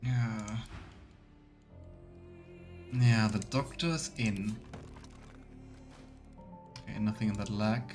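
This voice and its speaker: Low-voiced, unhurried man streaming a game. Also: fairly even intonation, a little mellow, soft background music. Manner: musing, thinking aloud. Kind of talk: casual let's play commentary.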